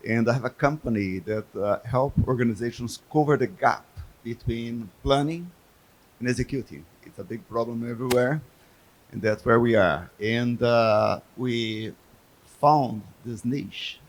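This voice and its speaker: A man's voice: mid-range voice, a little slow, a little hiss or hum, poor audio. A man from Brazil, not an English speaker, introducing his company briefly and plainly on a conference panel. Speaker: business owner, panelist. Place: conference stage.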